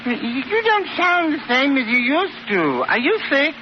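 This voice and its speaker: An actor playing an excitable, dithering old widowed landlord in a 1940s radio sitcom, on an old radio recording. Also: male voice, extremely high pitch, unhurried, full character voice. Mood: timid, flustered. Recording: very noisy, muffled radio recording, audience behind.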